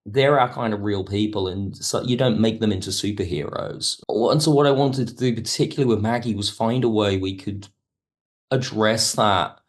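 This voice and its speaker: A man's voice: fairly low pitch, normal speed. A man speaking as a writer guest in a reflective podcast interview, circling back and rephrasing as he explains. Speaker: guest, audio drama writer.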